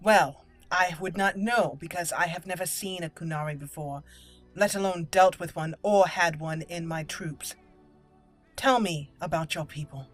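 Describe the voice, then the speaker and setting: A woman hosting a roleplay video game playthrough, moving between deliberate in-character lines and casual commentary. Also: lowish voice, soft background music. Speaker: gaming video host.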